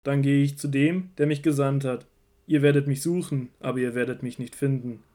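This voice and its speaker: A man playing an earnest, commanding male teacher preaching in an audio drama, declamatory and deliberate. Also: mid-range voice, steady tone, mellow, lightly acted. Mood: solemn.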